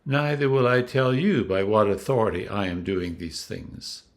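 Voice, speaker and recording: A man voicing a calm, firm, shrewd male teacher in a scripture reading, measured and emphatic. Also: fairly low pitch, lightly acted.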